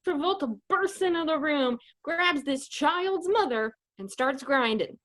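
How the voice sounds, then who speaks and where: High-pitched woman comedy narrator. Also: lively intonation, a little slow, a bit bright. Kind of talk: comedic narration of a radio play.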